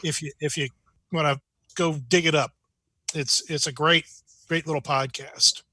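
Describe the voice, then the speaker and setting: A man's voice: a little high, steady tone, normal speed, bright, forceful tone, no echo. A man chatting casually as a club member at an online meeting, speaking into a video call microphone. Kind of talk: casual chat.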